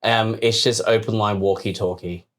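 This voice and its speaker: This is a podcast co-host with a mid-pitched voice, bantering casually about the news. The delivery is sarcastic and comedic, with words often repeated in quick runs. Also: fairly even intonation.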